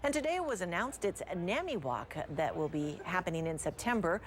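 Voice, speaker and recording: Lower-pitched American woman news anchor. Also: lively intonation, normal speed, a little hiss or hum. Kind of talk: scripted TV news reading.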